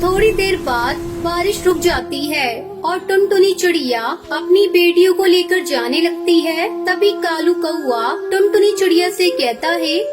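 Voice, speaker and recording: Lady narrator reading a kids story, really high voice, music behind her. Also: lively intonation, a little slow, hardly any pauses. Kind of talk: scripted children's story narration.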